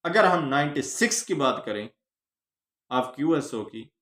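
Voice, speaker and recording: Male lecturer, Pakistani accent. Measured, slow, emphatic question-and-answer teaching, recorded in an echoing room. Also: on the high side, animated, a bit bright. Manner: didactic, emphatic stress on phrases, mixes in English legal terms. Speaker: law lecturer. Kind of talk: instructional lecture, posing questions then answering them.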